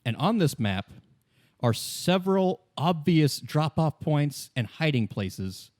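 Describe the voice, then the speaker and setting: A man's voice: on the high side, very animated, takes it slowly, darkish tone, dry recording. Man running a tabletop game, dry close sound. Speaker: game master. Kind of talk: casual improvised tabletop game narration.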